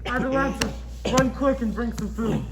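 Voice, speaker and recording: A man's voice: very high voice, normal speed, vocal fry, background noise. A man playing a bold, commanding young ship captain in theatrical stage style. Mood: earnest. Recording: echoey stage, music and crowd behind.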